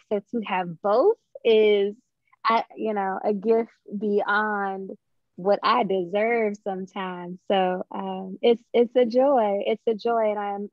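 A young woman, a playwright, answering thoughtfully in an interview on a video call, with measured pauses and dull audio. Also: medium pitch, no echo. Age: young adult. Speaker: playwright guest.

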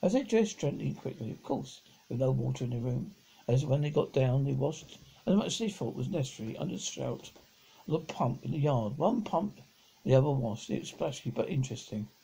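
A middle-aged man reads a children's story aloud, stumbling over words and rereading them, in a husky, gravelly voice. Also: mid-range voice, lively intonation, brisk, dark tone, low-quality recording. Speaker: amateur audiobook reader. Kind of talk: reading a children's novel aloud. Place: living room at home, on a sofa.

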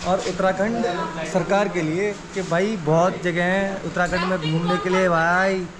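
A man's voice: high-pitched, steady tone, measured pace, background noise. Earnest young man pleading. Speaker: friend on trip. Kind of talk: casual chat.

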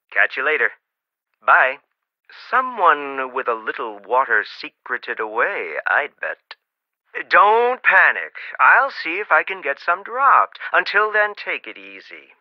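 A man's voice: mid-range voice, pushed and bright, no room sound, heavily acted. A middle-aged man voicing a protective archaeology professor who earnestly warns and cautions. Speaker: archaeologist mentor. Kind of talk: video game voice acting.

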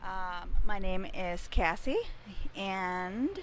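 A woman in her thirties, from India and raised in Dubai, speaks outdoors beside a building into a handheld microphone held close. She answers casually, pausing often as she searches for words. Her pitch sits mid-range, with a faint hiss of background noise. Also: fairly bright tone. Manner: thoughtful, hesitant, pauses to find words. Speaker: interviewee. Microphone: handheld mic held close.

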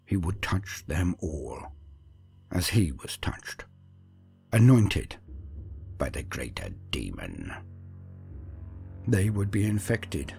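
A man narrating dark fantasy horror tales in a grave, theatrical manner, often dropping to hushed phrase endings. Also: deep voice, very animated, slow pace, creaky, long pauses, faint music behind. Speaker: storyteller narrator.

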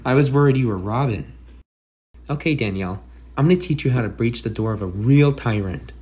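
A man's voice: medium pitch, fairly quick, a little mellow, husky, close to an everyday voice. A man playing a brash, sarcastic masked antihero with a kind streak, in a theatrical comic book dub. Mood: playful, cocky.

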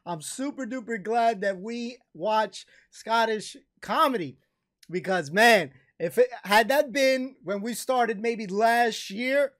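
A man, giving animated, casual reaction commentary as a video host. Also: very high-pitched, slow pace. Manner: animated, effusive. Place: small room at home, indoors.

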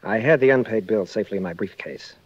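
An actor playing a terse, guarded, wealthy husband in 1940s radio acting style. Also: male voice, fairly low pitch, quick pace, breathy edge, no echo. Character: guarded wealthy married man, reluctant businesslike client. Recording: muffled, lo-fi 1940s radio recording.

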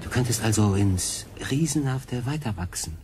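A man's voice: medium pitch, lively intonation, moderate pace, background noise, close to an everyday voice. An actor playing a stranded solo sailor in a radio drama, a curious man who speaks in a questioning, reflective way. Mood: curious, pondering.

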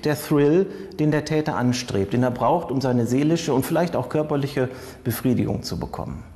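Male crime psychology expert, mid-pitched, formal and emphatic commentary, long reverb on the voice. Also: warm tone. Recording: long reverberant hall sound.

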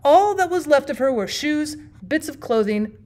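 Higher-voiced woman reading a story aloud. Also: lively intonation, normal speed, warm tone. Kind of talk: expressive audiobook narration for young listeners.

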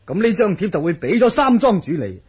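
A man's voice: high voice, big pitch swings, brisk, darkish tone. A man acting a wily, ingratiating guest in a Cantonese radio drama. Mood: coaxing.

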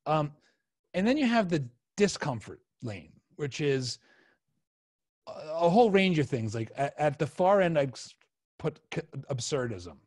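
A middle-aged man hosting a casual podcast, explaining ideas in a halting way as he thinks aloud. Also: a little high, sing-song, darkish tone, no room sound, studio quality. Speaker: podcast host, video editor. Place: indoor room, video call. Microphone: podcast mic on an arm, headphones.

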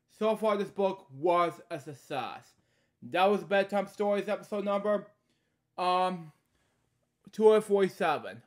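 A man in his thirties with a somewhat higher-pitched voice reads a children's book at home. He delivers it in short, halting phrases. Between them he drops casual, repetitive asides as the host of a homemade story series. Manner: halting, repeating stock phrases between short read lines. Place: small indoor room at home.

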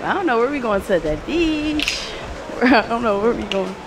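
A woman's voice: a little high, expressive, normal speed, background noise. A woman chatting casually to her viewers as a travel vlogger, joking and talking straight to the camera outdoors. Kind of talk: casual travel vlog chat. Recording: street crowd and music behind.

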